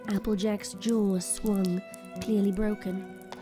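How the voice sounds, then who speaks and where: A woman narrating a scripted audio drama in a measured, ominous storytelling manner. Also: mid-range voice, flat delivery. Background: music.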